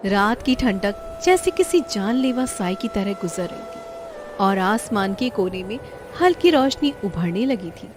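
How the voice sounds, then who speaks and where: A woman's voice: medium pitch, big pitch swings, unhurried, over music. A woman giving scripted, dramatic narration of a moral story.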